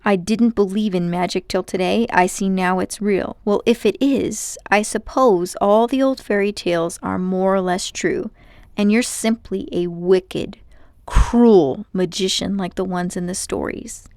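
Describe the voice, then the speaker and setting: A woman's voice: medium pitch, a little slow, darkish tone, dry recording, clean studio sound, close to an everyday voice. Woman acting defiant, hot-tempered schoolboy. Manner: blunt, indignant, accusing. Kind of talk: acted storybook dialogue.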